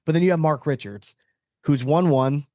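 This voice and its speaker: A man's voice: a little high, moderate pace, darkish tone, no room sound, clean studio sound. A man hosting a podcast in casual sports chat, talking in a slangy, opinionated, conversational way. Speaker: podcast host.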